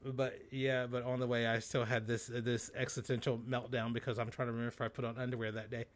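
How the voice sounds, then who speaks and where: A man co-hosting a podcast in casual, bawdy chat, telling stories in a sassy, animated way. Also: medium pitch, fast talker. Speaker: podcast co-host.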